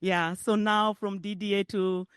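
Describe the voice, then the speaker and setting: A middle-aged woman from Uganda with a Ugandan accent, speaking warmly and chattily at a public forum and laughing often. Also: mid-range voice, little pitch movement, unhurried, clear, resonant tone, no echo, studio quality. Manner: warm, emotional, chatty asides with frequent laughter. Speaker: guest speaker, parent advocate. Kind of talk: personal speech at a public forum. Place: hotel ballroom event.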